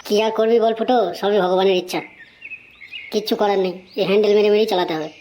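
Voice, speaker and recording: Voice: medium pitch, fairly even intonation, quick pace, background noise, close to an everyday voice. A performer voicing a gossipy young male sidekick in a comedy cartoon, agreeable and teasing. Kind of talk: amateur comedy cartoon dialogue.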